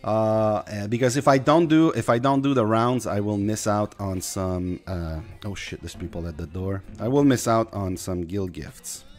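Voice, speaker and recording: A man's voice: on the low side, moderate pace, few pauses. Quebec french accent guy rambling over his game stream, talks to chat, faint music behind. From Quebec, Canada. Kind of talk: casual gameplay commentary.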